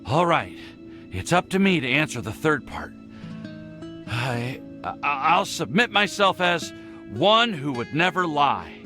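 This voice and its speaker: A man's voice: fairly high pitch, big pitch swings, slow pace, pushed and bright, soft background music, exaggerated voice. A man voicing a humble, earnest young man in a video game, nervous and hesitant in his speech. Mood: nervous, sincere. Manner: hesitant, stammering. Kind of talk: English video game voice acting.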